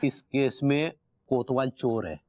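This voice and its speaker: An actor playing a nervous, defensive middle-aged neighbour who stammers when cornered in a sitcom. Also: male voice, mid-range voice, takes it slowly, lo-fi sound, noisy background, lightly acted. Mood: flustered.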